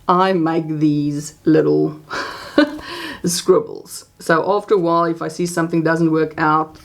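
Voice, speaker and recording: Female crafting host, Afrikaans first language, low gravelly voice, chatty and meandering. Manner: chatty, rambling with many restarts and fillers. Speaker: crochet channel host. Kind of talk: casual crafting chat.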